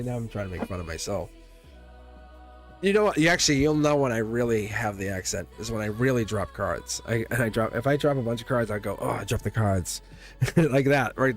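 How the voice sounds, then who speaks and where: A man giving a live-streamed tarot reading, chatty and teasing, laughing often as he talks to his viewers. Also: medium pitch. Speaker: tarot reader.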